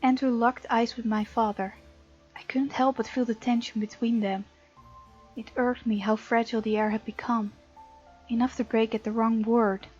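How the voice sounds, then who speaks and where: Female let's player, fairly high voice, playful narrator-reactor; mildly muffled recording, light game music underneath. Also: steady tone, normal speed. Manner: playful, reacts out loud to characters. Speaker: gaming video host. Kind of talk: let's play, reading game text aloud with casual reactions. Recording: slightly dull sound, music behind.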